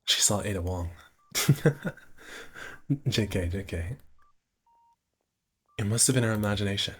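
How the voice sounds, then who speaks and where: A man live streaming, reading visual novel narration aloud in a halting way, often stumbling and repeating phrases. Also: lowish voice, lively intonation, breathy edge.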